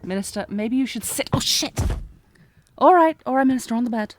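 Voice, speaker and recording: A woman's voice: on the high side, lively intonation, fairly quick, a little hiss or hum, lightly acted. A woman playing a sardonic, protective knight bodyguard in a fantasy audio roleplay, with a taunting, commanding, intense manner. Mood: brisk, urgent. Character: sardonic, protective female knight bodyguard, old academy rival. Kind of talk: scripted fantasy audio roleplay, acted one-sided dialogue.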